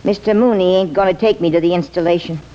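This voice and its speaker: An actress playing a plain-spoken, exasperated but warm-hearted American small-town woman in an old-time radio comedy. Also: on the low side, quick pace, natural voice. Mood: dismayed, resigned. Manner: plain-spoken, folksy, dramatic. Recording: dull vintage radio recording.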